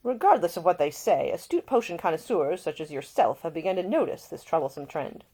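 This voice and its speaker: A woman voicing a composed, probing older gentleman, an uncle and lord who speaks formally and deliberately in an audiobook story. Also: lowish voice, big pitch swings, slightly rough, exaggerated voice. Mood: grave, formal.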